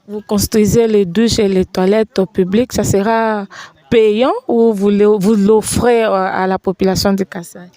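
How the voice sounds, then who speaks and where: A woman's voice: medium pitch, fairly even intonation, measured pace, mellow, no room sound. A woman hosting a TV interview in a studio, asking formal, wordy questions with many fillers. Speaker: TV host. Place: TV studio set.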